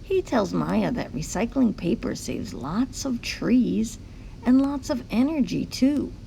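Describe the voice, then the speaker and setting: Very creaky, animated woman reading to children. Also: a little high, takes it slowly, a little hiss or hum, rough recording. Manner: simple explaining for young children. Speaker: teacher-like read-aloud host. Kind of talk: children's picture book read-aloud.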